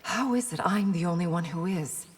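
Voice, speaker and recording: Poised princess in a reverberant hall. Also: female voice, lowish voice, normal speed, slightly rough. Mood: formal, serious. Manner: formal, poised. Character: dutiful fantasy princess and military commander. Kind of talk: video game dialogue acting. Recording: long hall reverb, noisy game backdrop.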